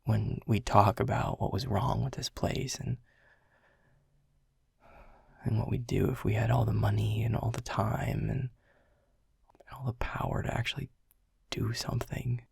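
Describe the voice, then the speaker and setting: A man's voice: fairly low pitch, fairly even intonation, a little slow, gravelly, pauses often, a little mellow, slightly rough, no room sound, clean studio sound, heavily acted. A man playing a world-weary male middle manager who is blunt and swears, confessing in a half-whisper. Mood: regretful. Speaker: boss. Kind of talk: audio roleplay acting.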